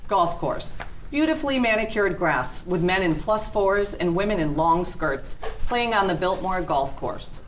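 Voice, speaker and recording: A woman's voice: fairly low pitch, lively intonation, unhurried, hardly any pauses, slight background noise. A woman reading a script's stage directions as narration at a table read.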